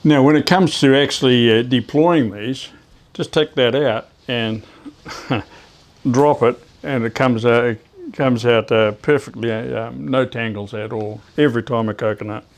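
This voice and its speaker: Guy showing diy tips, casual and kinda halting, mid-pitched voice, working while he talks. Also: steady tone. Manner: hesitant, halting, talking while working with his hands. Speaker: ham radio hobbyist presenting DIY tips. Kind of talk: hands-on how-to demonstration, casual.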